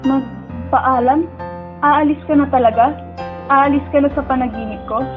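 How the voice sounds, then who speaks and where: A woman's voice: high voice, moderate pace, narrow, tinny audio, music in the background, close to an everyday voice. A woman with a Filipino accent playing an emotional, pleading young woman who narrates and speaks in a tender, heartbroken radio drama. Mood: anxious, pleading. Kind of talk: dramatic first-person narration and dialogue.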